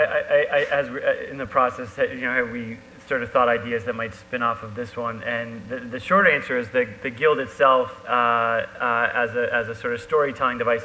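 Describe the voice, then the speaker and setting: A man speaking as an author in a live panel conversation, haltingly, often restarting and repeating his words. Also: medium pitch. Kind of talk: live on-stage conversation about a book. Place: live event hall with an audience. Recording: echoey hall, bright, faint hum.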